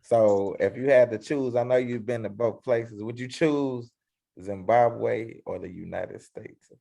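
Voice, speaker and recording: A man hosting an interview, opening with a boastful, hyped self-introduction before asking relaxed questions. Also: mid-range voice, a little slow, vocal fry. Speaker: host.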